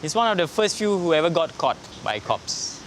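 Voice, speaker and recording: A man, a graffiti artist, explaining his work in a relaxed way with fillers in a documentary interview. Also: a little high, moderate pace, a little hiss or hum.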